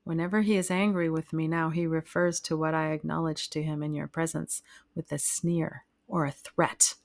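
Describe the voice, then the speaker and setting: A woman voicing a frightened young wife who speaks wearily and calmly of her troubles. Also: sits low, moderate pace, vocal fry, dry recording, clean studio sound. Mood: bitter. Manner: wearily calm, pleading.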